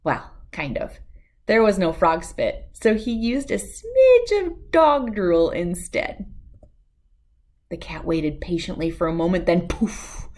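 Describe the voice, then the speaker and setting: A woman reading a children's story aloud as narrator, with expressive, dramatic and deliberate phrasing. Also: fairly low pitch.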